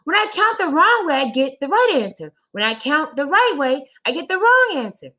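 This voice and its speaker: A woman's voice: high-pitched, big pitch swings, moderate pace, pushed and bright, voice pushed up, heavily acted. A woman voicing a young schoolboy in a children's storybook, eager, stubborn and frustrated, with an emphatic, childlike way of protesting. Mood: frustrated, whiny. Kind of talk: children's storybook read-aloud.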